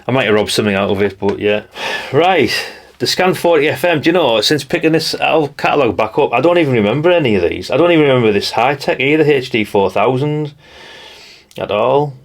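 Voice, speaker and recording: A man's voice: medium pitch, lively intonation, brisk. A middle-aged man with a North East English accent giving a casual, chatty show-and-tell, rambling along in a nostalgic, down-to-earth way. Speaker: hobbyist video presenter.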